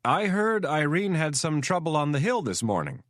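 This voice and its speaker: A man's voice: fairly high pitch, very animated, moderate pace, a bit bright, dry recording, clean studio sound, pitched above the performer's own voice. A man voicing a weary middle-aged male president who talks plainly and frankly.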